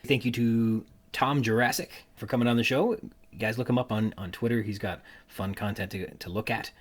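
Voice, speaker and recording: A man hosting a casual podcast interview, talking in a halting, conversational way. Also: fairly low pitch, fairly even intonation. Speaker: podcast host.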